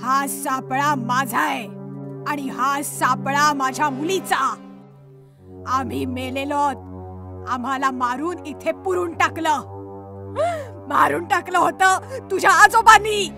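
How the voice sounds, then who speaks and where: A woman playing a vengeful, bitter female ghost in a horror story, with slow, menacing, drawn-out delivery. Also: sits high, vocal fry, music in the background, heavily acted. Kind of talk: horror cartoon acting.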